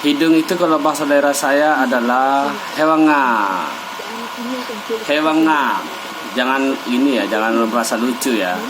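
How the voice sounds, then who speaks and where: A young man from Sabu island speaks at an unhurried, deliberate pace, saying each word twice so viewers can follow. His voice sits at an ordinary middle pitch. A steady hum like a hair dryer runs behind him the whole time. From Sabu island, East Nusa Tenggara, Indonesia. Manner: slow, deliberate, pointing at his face and repeating words. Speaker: vlogger teaching his local language. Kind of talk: casual to-camera language lesson.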